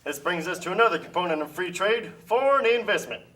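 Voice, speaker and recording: A man acts out a confident free-trade adviser for a puppet play. The voice is high for a man, bright and forceful, heard at some distance from the mic. He declaims like a pushy salesman. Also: animated, brisk. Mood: smug. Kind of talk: amateur political puppet show.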